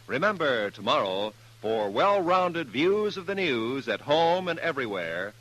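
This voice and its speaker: A man's voice: mid-range voice, a bit bright, rough recording. A man announcing from a script in polished, formal 1950s network radio style.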